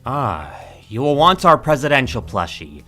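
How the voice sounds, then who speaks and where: A man's voice: medium pitch, sing-song, normal speed, pushed and bright, soft background music. A man voicing a smug, sarcastic male shopkeeper with a showy, theatrical delivery in a fan audio drama. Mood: sardonic.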